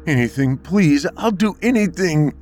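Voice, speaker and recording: A man voicing Jordan, a grieving young husband pleading in a high, strained voice. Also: expressive, unhurried, darkish tone, soft background music. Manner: pleading, pitch rising.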